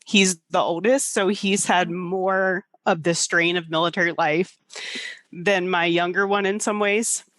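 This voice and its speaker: A woman's voice: lowish voice, fairly even intonation, unhurried, a bit bright, dry recording. A woman co-hosting a podcast, talking casually and candidly about personal life with self-deprecating openness. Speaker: podcast co-host.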